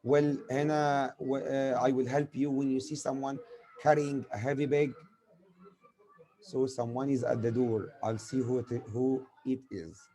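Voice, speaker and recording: A man's voice: mid-range voice, steady tone, a little slow, mellow, poor audio. A man with an Egyptian accent, a native Arabic speaker, giving a grammar lesson as a teacher who asks and answers his own questions. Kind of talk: spoken lesson explaining with examples.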